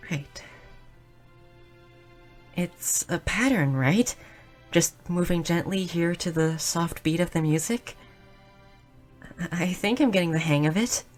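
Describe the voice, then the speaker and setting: A woman voicing a shy, nerdy, curious young goblin girl in a roleplay audio drama, speaking softly and earnestly with small stammers. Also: on the low side. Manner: soft, hesitant, stammering, earnest.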